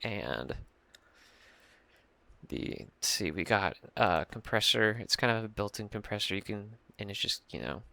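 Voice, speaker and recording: Young guy, low gravelly voice, bright edge, dry close desk mic, rambly tutorial talk. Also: animated, a little slow, no echo. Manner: rambling, many fillers and restarts. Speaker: music producer explaining a mix. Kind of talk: casual screen-share tutorial. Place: small room at a computer.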